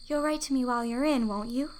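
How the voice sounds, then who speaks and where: A woman's voice: high voice, quick pace, a little mellow, lightly acted. An actress playing a sentimental, devoted teenage schoolgirl best friend in a storybook drama, plaintive and gushing. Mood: fond, eager.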